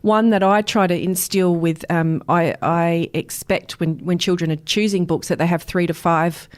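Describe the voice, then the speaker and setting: A woman's voice: lowish voice, steady tone, moderate pace. A woman hosting a conversational podcast interview, speaking in an earnest, considered way. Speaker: podcast host, reading educator.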